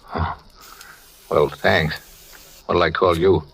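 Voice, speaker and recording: An American actor playing a wary, determined sailor on the run in a 1940s radio thriller, talking in clipped, hesitant, colloquial phrases. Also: male voice, deep voice, fairly even intonation, takes it slowly, creaky, fairly bright tone, slightly rough, exaggerated voice. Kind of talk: 1940s radio thriller acting, dialogue and first-person narration. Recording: vintage radio drama recording.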